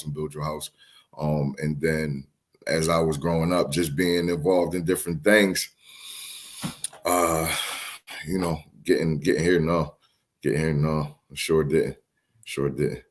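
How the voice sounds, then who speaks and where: A young man from Philadelphia, a company CEO as a guest, talking casually with hesitations and repeated words. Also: sits low. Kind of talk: casual interview talk.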